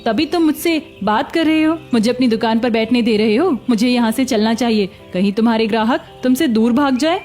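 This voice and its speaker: A woman voicing a hungry young beggar woman in a cartoon story, plaintive and quick to retort. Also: fairly high pitch, a little mellow, soft background music, close to an everyday voice. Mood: sad, confused. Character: hungry young beggar woman, pleading yet sharp-tongued. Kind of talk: Hindi cartoon story acting.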